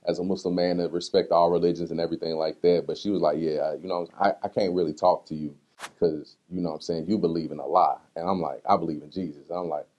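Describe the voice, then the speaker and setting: Male interview guest, low-pitched voice, slangy and conversational, telling personal stories informally. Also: fast, lo-fi sound. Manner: slangy, conversational, animated retelling with acted-out quotes. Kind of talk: casual candid interview talk answering personal questions.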